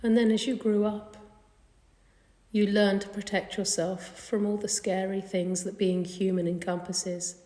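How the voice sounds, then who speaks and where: A woman leading a guided meditation in a gentle, soothing, unhurried manner. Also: mid-range voice, flat delivery. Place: indoor carpeted room. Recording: echoey room with long reverb.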